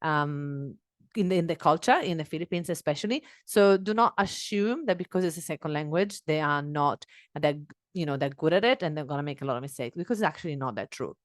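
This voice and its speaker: A woman in her thirties hosting a solo podcast on a headset mic in a small home office. She speaks English as a second language, talking in an earnest, animated way with many fillers and restarts. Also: low voice, a little fast, no room sound, studio quality. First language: not English. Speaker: podcast host.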